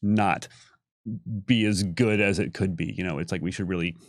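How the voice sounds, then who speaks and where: Guy on a livestream panel, lowish voice, close dry sound, rewords stuff as he goes. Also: fairly even intonation, moderate pace, no room sound. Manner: hesitant, circling back and rephrasing. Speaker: panelist and creative director on a company livestream. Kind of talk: casual livestream Q&A chat.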